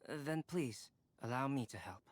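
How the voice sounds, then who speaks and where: A man playing a calm, courteous young scholar in an anime-style game, speaking formally and with measured care. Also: mid-range voice, lightly acted.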